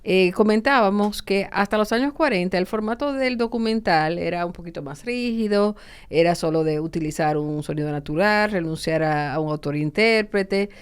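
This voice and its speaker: Woman, 60s, podcast host; smooth, resonant voice, hesitant delivery. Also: mid-range voice, moderate pace. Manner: hesitant, many filler sounds. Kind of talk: interviewing guests.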